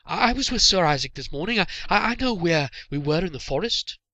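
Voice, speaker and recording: A man voicing a nervous, eager young boy in a medieval storybook, stammering and halting. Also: high-pitched, expressive, sharp tone, dry recording, pitched above the performer's own voice.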